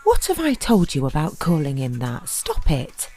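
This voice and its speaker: Woman as mellow, lowish-voiced mum. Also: wildly swooping pitch, moderate pace, faint music behind, exaggerated voice. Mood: scolding. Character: gently scolding working mother and wife. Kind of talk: audio drama acting.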